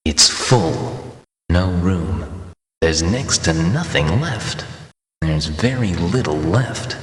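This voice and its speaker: A matter-of-fact game narrator, delivers short scripted readouts in a low voice. He is extremely slow and deliberate, each clipped phrase standing alone in a long, echoing reverb. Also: lively intonation. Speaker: narrator of item descriptions.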